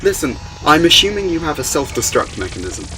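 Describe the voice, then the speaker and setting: A man's voice: on the high side, sing-song, brisk, loud music behind the voice, poor audio. A man playing a commanding alien time traveller in a fan audio drama, urgent but hopeful. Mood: determined.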